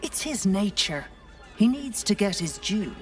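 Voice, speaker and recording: A woman's voice: mid-range voice, sing-song, measured pace, darkish tone, distant mic, soft background music. A woman voicing a devil's mortal assistant in a video game, brash, familiar and persuasive. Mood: earnest, urgent. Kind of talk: video game character acting.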